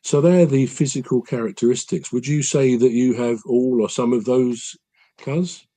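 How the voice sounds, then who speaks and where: A man's voice: medium pitch, steady tone, moderate pace, mellow. A man co-hosting a podcast in casual chat, thinking aloud with lots of fillers and restarts. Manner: thoughtful, rambling, with frequent fillers and restarts. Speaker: podcast co-host.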